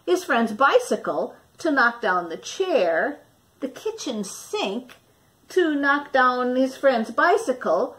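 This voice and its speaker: A woman reading a children's picture book aloud expressively, with dramatic pauses and questions for young listeners, like a teacher. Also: high voice, big pitch swings, a bit bright.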